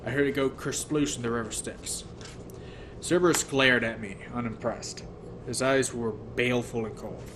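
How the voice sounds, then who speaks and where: A man's voice: mid-range voice, fairly even intonation, normal speed. Man reading a novel aloud, noisy recording. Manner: stumbles and rereads phrases. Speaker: audiobook reader. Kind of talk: first-person novel narration. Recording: noticeable background noise.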